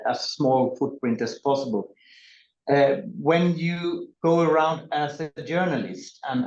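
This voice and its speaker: A man's voice: medium pitch, darkish tone. A man hosting a webinar panel, asking questions hesitantly with many fillers. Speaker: webinar moderator. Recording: roomy, dull lo-fi audio.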